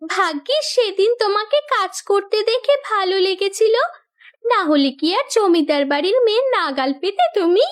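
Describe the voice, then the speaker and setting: Very high-pitched, gentle young cartoon wife voiced by a woman. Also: lively intonation, normal speed. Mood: cheerful. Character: forgiving, cheerful young married daughter of a landlord. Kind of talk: Bengali moral cartoon voice acting.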